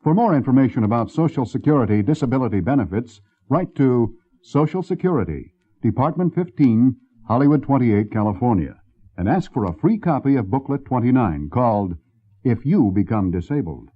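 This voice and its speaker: A man's voice: mid-range voice, sing-song, brisk, breathy edge. A man reading a formal, scripted public service announcement. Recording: slightly dull, muffled recording.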